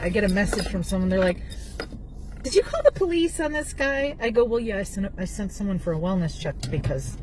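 A woman in her thirties vlogging from her car, telling a story in a rambling, chatty way with many fillers and restarts. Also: mid-range voice. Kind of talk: casual car vlog storytelling. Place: parked or driving car. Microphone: phone on dashboard. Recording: loud car noise. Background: car and road noise.

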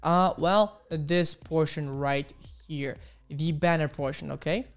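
A man's voice: steady tone, takes it slowly. A young man teaching coding live on stream, upbeat and exclamatory, chatting with viewers as he types. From Ukraine. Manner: upbeat, exclamatory, keeps saying boom and beautiful. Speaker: coding instructor on livestream. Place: small home studio. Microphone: studio mic on arm with headphones.

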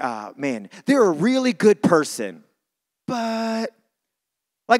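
Male preacher, high-pitched voice, church sermon, conversational wording, emphatic repetition. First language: not English (says English is not his first language). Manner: informal and conversational, repeats phrases for emphasis.

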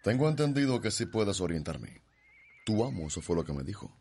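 Haughty gentleman, low animated voice. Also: brisk, a little hiss or hum. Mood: contemptuous. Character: haughty, imperious gentleman visitor, racist. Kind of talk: radio soap opera acting.

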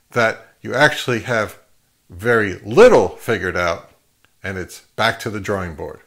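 A middle-aged man hosting an advice vlog, speaking to camera in an emphatic way with deliberate pauses. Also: medium pitch, pushed and bright. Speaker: host of an audio gear channel. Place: home listening room, later an open grassy field.

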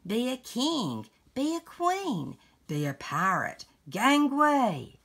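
An older woman reading a picture book aloud for young children, pronouncing it word by word in a deliberate way. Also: on the high side, very animated, a bit bright. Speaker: read-along host. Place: indoors at home.

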